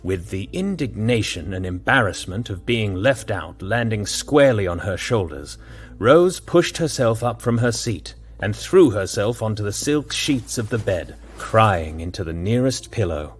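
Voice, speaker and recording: Male storyteller for scripted audio drama, deeper register, raspy edge, dramatic narration. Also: lively intonation, measured pace, soft background music.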